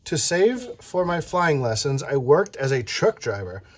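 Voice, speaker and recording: An adult reading a children's picture book aloud, playful and animated, stopping to chat with the child listening. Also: mid-range voice, unhurried. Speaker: read-aloud storyteller.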